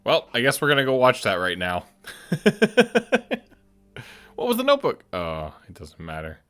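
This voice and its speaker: A man commenting loudly and jokingly while playing a game. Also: medium pitch, wildly swooping pitch, unhurried, soft background music. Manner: loud, joking reactions. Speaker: game streamer. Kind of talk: let's play commentary.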